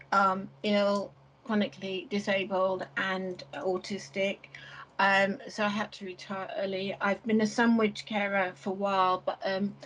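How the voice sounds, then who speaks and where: Woman carer introducing herself, flat monotone voice, lots of ums and gaps, faint room noise. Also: mid-range voice, poor audio. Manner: halting, with many pauses and fillers. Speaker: carer, advocate and trustee. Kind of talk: self-introduction on a panel call. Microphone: video call.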